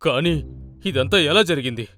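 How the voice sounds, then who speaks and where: A man's voice: on the high side, lively intonation, normal speed, sharp tone. A man voicing a conflicted, remorseful lame lion in a children's cartoon fable, earnest and dramatic. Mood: amazed. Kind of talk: animated children's story acting.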